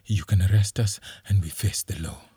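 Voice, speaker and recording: Scared young captive read by a male narrator, husky, trembling. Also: sits low, normal speed, dark tone, no echo, clean studio sound. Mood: frightened. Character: frightened young man surrendering.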